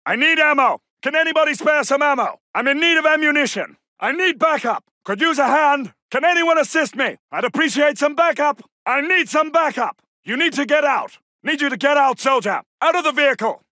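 An actor playing a brisk, gallant British Second World War soldier in a video game, with a clipped, commanding and formal manner. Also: male voice, extremely high pitch, bright, forceful tone, no echo, studio quality, heavily acted. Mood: urgent, pleading, then urgent, commanding. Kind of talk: military game battle barks.

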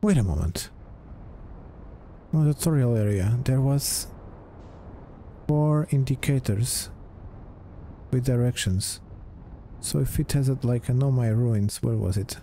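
Man with very mellow, creaky voice. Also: medium pitch, unhurried, pauses often, a little hiss or hum. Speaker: gamer, let's play host. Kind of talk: casual gameplay commentary.

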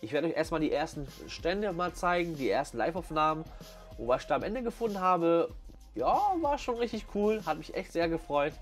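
A man's voice: on the high side, lively intonation, a little fast. A man giving casual, enthusiastic vlog commentary full of youthful slang. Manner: enthusiastic slang-filled chat. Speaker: vlog host. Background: background music.

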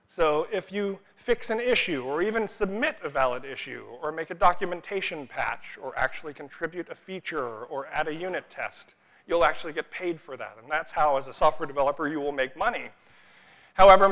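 A man's voice: fairly high pitch, sharp tone, low-quality recording. A man, giving a humorous conference talk on a large stage, self-deprecating and joking, with frequent "uh" fillers. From United States. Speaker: conference keynote speaker.

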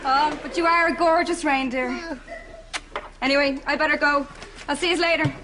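A woman's voice: high voice, fairly even intonation, moderate pace, noisy background, natural voice. A woman playing a friendly younger woman in a studio sitcom. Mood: friendly, surprised.

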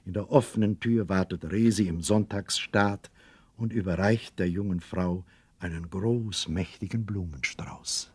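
Wry, measured male storyteller. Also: deep voice, darkish tone, husky, no echo. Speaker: narrator. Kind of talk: scripted storytelling narration for a children's radio play.

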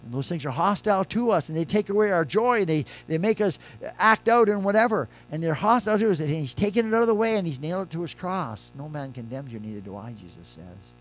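An older man, a pastor, preaching a conversational sermon from a church pulpit, moving between loud emphatic lines and quiet soft ones. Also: a little high, expressive, fast talker, few pauses, faint music behind.

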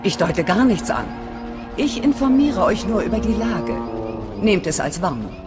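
Frau spielt strenge Widerstandsführerin mit langem Hall. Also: female voice, mid-range voice, big pitch swings, moderate pace, close to an everyday voice. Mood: suspicious. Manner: commanding, matter-of-fact. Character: stern, guarded female resistance cell leader. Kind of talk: dramatic video game dialogue in German. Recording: long hall reverb. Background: background music.

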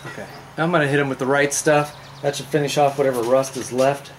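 A man's voice: medium pitch, fairly even intonation, fast talker. A man in his forties hosting a casual do-it-yourself video, chatting in a folksy way while he works outdoors in a yard. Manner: chatty, folksy, talks while working with hands. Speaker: DIY video host. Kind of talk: casual how-to demonstration. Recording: outdoor hiss and birds. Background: birds and outdoor ambience.

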